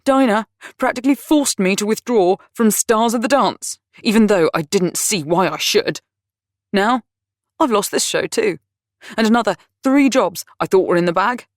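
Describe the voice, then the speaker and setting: A woman voicing a volatile, self-pitying man who rants and complains loudly. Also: on the low side, animated, normal speed, no echo, studio quality, close to an everyday voice. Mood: angry ranting. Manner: ranting, raised and strained.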